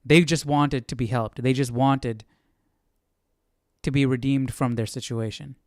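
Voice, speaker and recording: A man's voice: medium pitch, fairly even intonation, measured pace, dry recording, studio quality. A man hosting an informal Bible study, thinking aloud in a hesitant, reflective way. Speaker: live Bible study host.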